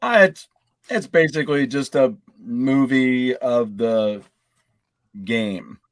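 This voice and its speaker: A man's voice: medium pitch, takes it slowly, no room sound. A man chatting casually and teasingly as a panelist on a video game podcast. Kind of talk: casual chat.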